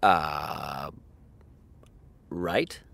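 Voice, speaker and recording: Scattered, obsessive showrunner for audio drama: deep female register, unhurried, dry close sound, mutters and stumbles. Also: vocal fry, fairly bright tone, no room sound, full character voice. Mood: flustered. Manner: muttering, stammering, sighing. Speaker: actor. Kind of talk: naturalistic audio drama acting.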